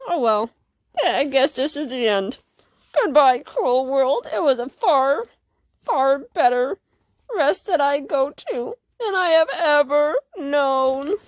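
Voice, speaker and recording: A woman's voice: sits high, lively intonation, takes it slowly, no echo. A woman voicing a wry, roguish male villain cat in a storybook, with a theatrical manner. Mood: resigned.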